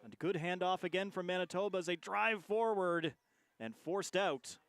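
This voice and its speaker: A man's voice: sits high, normal speed, a bit bright, dry recording. A man giving live play-by-play sports commentary on a rugby match.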